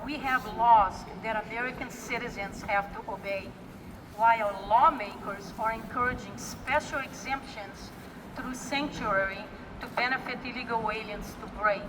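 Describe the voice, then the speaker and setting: A woman's voice: on the high side, moderate pace, noisy background. A woman giving a prepared speech at a political rally, emphatic and repetitive in her rallying lines. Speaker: rally speaker. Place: outdoor rally at a state house. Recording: distant echoey outdoor PA recording.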